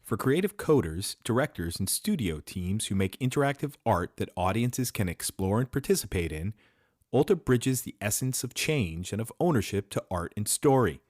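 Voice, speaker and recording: A man's voice: on the low side, sing-song, normal speed, darkish tone, dry recording, clean studio sound. A man hosting and reading scripted introductions of guests on a podcast. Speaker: host introducing startup founders.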